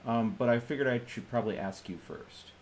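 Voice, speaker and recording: A man's voice: medium pitch, brisk. Man roleplaying, noisy room. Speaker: player in a tabletop roleplaying stream. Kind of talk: casual in-character roleplay and table banter.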